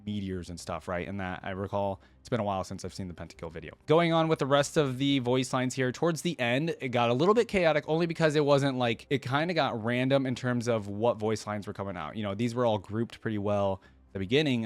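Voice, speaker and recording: A man's voice: medium pitch, fast, no echo. A man giving casual reaction commentary as a video host, chatty and rambling, often tagging questions onto his remarks.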